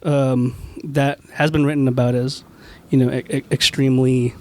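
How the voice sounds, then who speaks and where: A man from Washington State talks as a guest on a podcast panel. He is measured and hesitant, and often starts his sentences over. His pitch stays flat and even. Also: a little hiss or hum. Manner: measured, hesitant, many restarts and "you know". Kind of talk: casual panel chat.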